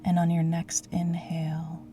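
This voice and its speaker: A woman leading a guided yoga nidra relaxation in a soothing, gentle, hushed and breathy voice. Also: fairly low pitch, monotone, measured pace, soft background music. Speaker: guided meditation teacher.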